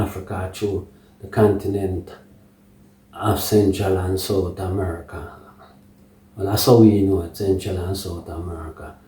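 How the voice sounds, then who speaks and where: A man with a Jamaican accent giving an unscripted political monologue in patois-tinged phrasing, deliberate and halting, often checking that the listener follows. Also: sits low, dark tone. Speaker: political commentator. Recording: echoey room, distant microphone.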